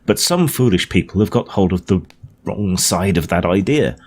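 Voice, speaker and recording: Earnest Victorian gentleman scientist, played by a male reader: precise, emphatic lecturer, low-pitched, for a storybook with audible background noise. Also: expressive, moderate pace. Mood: animated lecturing. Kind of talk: audiobook character dialogue. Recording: clearly noisy home recording.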